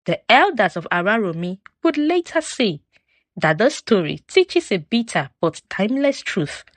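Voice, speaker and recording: A woman narrating a folktale from a script in a solemn, expressive, dramatic storytelling style. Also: mid-range voice, normal speed, no room sound.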